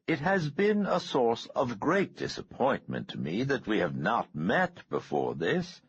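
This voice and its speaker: A man voicing a courtly, smooth-talking male billionaire with an oily, polite manner. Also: medium pitch, huge pitch swings, unhurried, dry recording, muffled recording, pitched above the performer's own voice, heavily acted.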